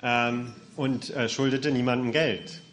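A man's voice: medium pitch, fairly even intonation, slow pace, fairly bright tone. A man interpreting a speaker's testimony phrase by phrase, matter-of-fact, with occasional hesitation sounds. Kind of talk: consecutive interpreting.

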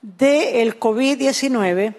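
A woman's voice: fairly high pitch, slow, a little mellow. A woman interpreting a sermon, delivering it consecutively in short phrases.

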